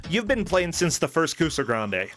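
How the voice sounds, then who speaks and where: A man's voice: a little high, sing-song, normal speed, fairly bright tone. A man hosting a livestream in a casual, chatty, effusive and informal way. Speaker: livestream show host. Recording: music playing behind the voice. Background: background music.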